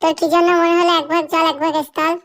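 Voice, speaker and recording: Performer as a strict cartoon teacher, high-pitched, very fast talking, bossy, scolding, comedy dub. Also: level tone, vocal fry, fairly bright tone, heavily acted. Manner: bossy, instructing.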